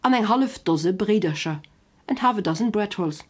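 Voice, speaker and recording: A woman's voice: mid-range voice, lively intonation, normal speed. A woman teaching in a scripted language lesson, giving examples carefully word by word in a didactic manner.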